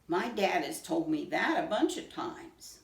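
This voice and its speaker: A woman's voice: lowish voice, animated, a little slow, vocal fry, echoey room, far from the mic. A woman voicing an earnest, apologetic young male mouse in a storybook, with a naive, questioning way of talking. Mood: matter-of-fact.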